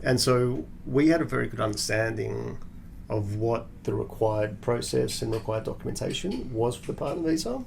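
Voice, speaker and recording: A man from Australia talks through his career as a podcast guest. His voice is deeply gravelly, full of fry at the ends of phrases, at a middling pitch. He explains at length and restarts his sentences often. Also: lively intonation, a little mellow, slight background noise. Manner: measured, explanatory, with frequent restarts. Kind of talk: conversational podcast interview.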